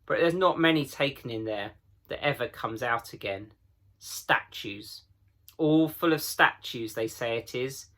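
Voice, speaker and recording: A man voicing a gruff, proud old talking beaver in a children's storybook, folksy and emphatic. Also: mid-range voice, unhurried, pushed and bright. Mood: grave, gloomy.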